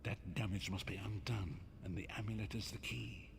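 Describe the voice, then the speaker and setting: An actor playing a solemn, cryptic elderly prophet in a fantasy video game, speaking in a measured, formal way. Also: male voice, lowish voice, steady tone, gravelly. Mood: solemn, mystical. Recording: long cavernous reverb with background noise.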